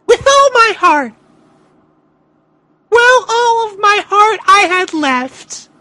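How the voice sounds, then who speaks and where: Very high, theatrical cold lady. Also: sing-song, pushed and bright, no echo, full character voice. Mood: melodramatic grief. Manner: slow, theatrical, drawn-out delivery. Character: cold, melodramatic, sorrowful woman villain of wrestling fanfiction.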